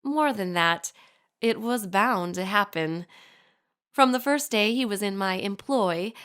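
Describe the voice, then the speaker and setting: A poised young society lady in an audio drama. Her voice is clean and resonant, at a medium pitch. She speaks with refined, formal composure, as if reading a private letter aloud. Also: fairly bright tone.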